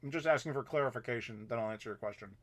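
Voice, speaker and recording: Thirties male host, casual and rambling, reads out viewer comments in a middle-pitched voice. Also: rapid-fire, a bit bright. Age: 30s. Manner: rambling, chatty, reads viewer messages aloud. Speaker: YouTuber host. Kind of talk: casual live Q&A chat.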